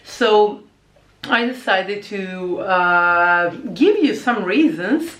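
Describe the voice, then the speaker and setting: A woman's voice: medium pitch, animated, extremely slow, a bit bright, echoey room. A Croatian woman who teaches languages, chatting casually to camera in English with a Croatian accent, with lots of fillers and restarts and the odd French or Croatian word.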